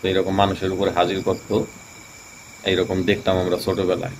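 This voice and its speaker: Low voiced guy rambling thru a ghost story, crickets + noise behind. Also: monotone, brisk. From Tangail, Bangladesh. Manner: rambling, many fillers and restarts. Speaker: channel host. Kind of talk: casual storytelling.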